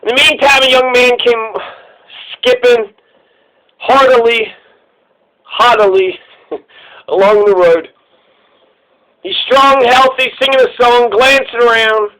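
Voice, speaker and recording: A man's voice: extremely high pitch, slow pace, long pauses, pushed and bright, low-quality recording. A man reading a fairy tale aloud in a theatrical, irreverent way, breaking off for sweary casual asides. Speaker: storyteller.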